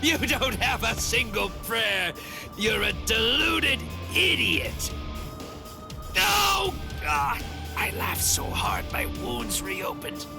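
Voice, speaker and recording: An actor playing a proud, brash wounded fighter in a video game, with a gruff, mocking and dramatic delivery. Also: male voice, very high voice, big pitch swings, takes it slowly, over music, exaggerated voice. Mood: incredulous, mocking. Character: brash wounded young male warrior, proud and scornful. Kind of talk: English video game voice acting.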